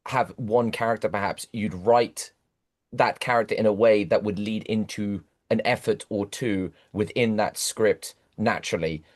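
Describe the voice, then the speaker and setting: A man's voice: lowish voice, fairly even intonation, moderate pace. A man in a casual live discussion, asking a question in a polite, tentative, roundabout way.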